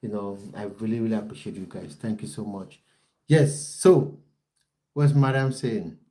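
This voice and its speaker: Man, football coach hosting a livestream; mellow, relaxed tone, direct and repetitive with viewers. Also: lowish voice, moderate pace, rough recording. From Cameroon. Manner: repeats phrases, talks straight to viewers. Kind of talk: livestream chat, reading out viewer comments.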